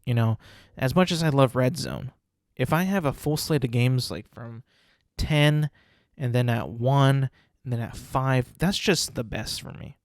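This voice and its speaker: A man's voice: mid-range voice, measured pace, dry recording, studio quality. A young man hosting a solo podcast, congested and sniffling, rambling casually with many fillers and restarts. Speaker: podcast host.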